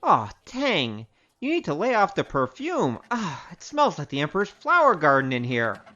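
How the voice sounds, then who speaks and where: A man's voice: on the high side, wildly swooping pitch, moderate pace, pushed and bright, lo-fi sound, full character voice. A male actor playing a roguish, wry storyteller with a grandiose, theatrical manner in a comic audio drama. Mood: sardonic. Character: roguish, boastful storyteller, wry poor adventurer. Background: music.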